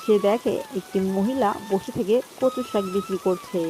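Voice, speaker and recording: A woman's voice: medium pitch, normal speed. A woman narrating a scripted children's cartoon story as its storyteller. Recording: music behind the voice.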